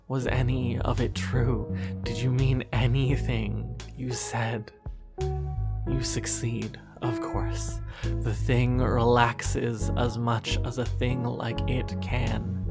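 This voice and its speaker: A woman reading a scripted horror story in an intimate, hushed and brooding manner, with sighs between phrases. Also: a bass voice, gravelly. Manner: hushed, sighing, brooding, addressing the listener as "you". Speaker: narrator of a horror fiction podcast. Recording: music under the voice. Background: instrumental music.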